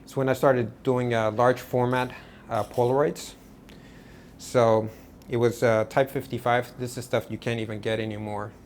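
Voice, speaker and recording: A man from Portugal, an artist guest, talking about his work in a relaxed, rambling way with many restarts. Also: mid-range voice, moderate pace, slight background noise. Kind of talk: casual interview.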